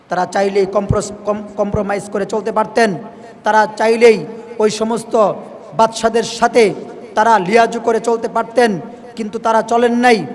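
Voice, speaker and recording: High-pitched male preacher in a reverberant hall. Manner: emphatic, exhorting, repeats phrases for effect. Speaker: Islamic preacher. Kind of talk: impassioned sermon to listeners.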